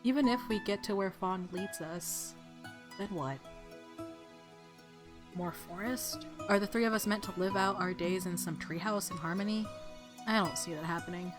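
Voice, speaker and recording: A woman's voice: mid-range voice, moderate pace, long pauses. A woman hosting a let's play, reading visual novel narration aloud with casual commentary. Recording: music clearly audible behind voice. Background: game music.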